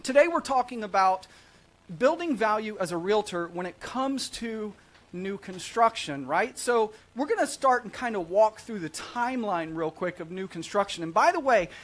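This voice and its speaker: A man's voice: sits high, expressive, moderate pace, a bit bright. A man hosting a live real estate show, chatting casually in an upbeat, salesman-like way and often checking in with "right?" tags. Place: small studio set at a desk.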